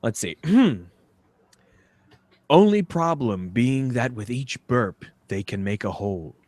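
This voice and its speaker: A man's voice: mid-range voice, animated, takes it slowly, darkish tone, dry recording. A man co-hosting a comedy podcast, bantering casually and doing comic readings. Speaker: podcast co-host.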